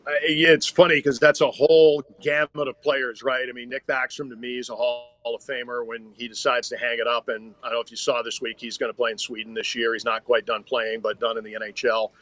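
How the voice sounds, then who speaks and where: A man who works as a sports announcer, speaking as a guest in conversational analysis, reasoning aloud in measured, hesitant phrases. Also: mid-range voice, level tone, fairly bright tone, rough recording.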